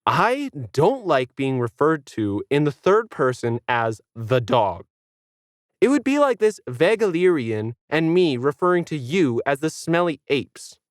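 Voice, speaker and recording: A man's voice: on the high side, big pitch swings, a little slow, no echo, clean studio sound. A man voicing a bossy, haughty female talking dog, commanding and indignant, in an audio science fiction story.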